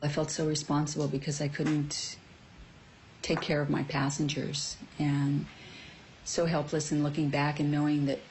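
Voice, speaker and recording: A woman, a flight attendant, recalling events in a remorseful, steady way. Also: sits low, moderate pace, slight background noise. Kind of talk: interview recollection.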